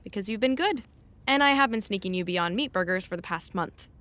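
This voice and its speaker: An actress playing a blunt, exasperated but caring personal assistant in naturalistic audio drama, wry and no-nonsense. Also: female voice, mid-range voice, animated, fairly quick, a bit bright, close to an everyday voice. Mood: wry, bossy. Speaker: actor.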